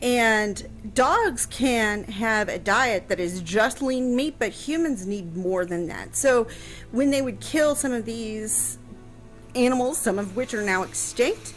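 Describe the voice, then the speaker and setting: A woman with a fairly high voice explains history in a casual, conversational way. Her delivery is halting and searching, with music behind her. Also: animated. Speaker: historian guest answering questions on a radio show.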